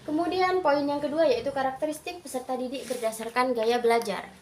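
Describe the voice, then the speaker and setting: Young woman, really high voice, doing a student presentation, careful n halting phrase by phrase. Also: fairly even intonation, a little mellow, a little hiss or hum. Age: young adult. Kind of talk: student presentation, prepared explanation.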